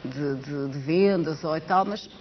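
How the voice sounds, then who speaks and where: A middle-aged woman from Portugal with a Portuguese accent tinged with Brazilian sounds, chatting as a TV guest about her project and telling stories with animated asides. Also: low-pitched. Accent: Portuguese with Brazilian touches. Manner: animated storyteller, gesturing, quick asides. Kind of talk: casual chat on a TV talk show. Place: TV studio set. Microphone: lapel mic. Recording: dull lo-fi TV broadcast with faint hiss.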